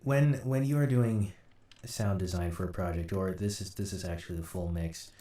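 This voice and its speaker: A man giving an informal screen-recorded software tutorial, thinking aloud haltingly as he works. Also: low voice. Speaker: host, audio drama sound designer. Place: indoor room at a computer. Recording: roomy, distant mic, light noise. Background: keyboard typing and mouse clicks, faint noise.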